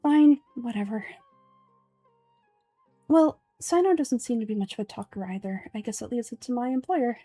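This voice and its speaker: A woman's voice: a little high, moderate pace, long pauses, warm tone, no echo, soft background music, lightly acted. A woman voicing a brash, blunt, hearty mercenary in a game script, teasing and outspoken. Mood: prodding.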